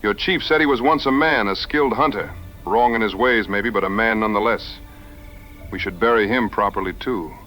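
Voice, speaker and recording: Male actor as rugged frontier hunter, lowish forceful voice, folksy and plainspoken, audio adventure drama, music underneath. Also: fairly quick, low-quality recording. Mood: humble, solemn.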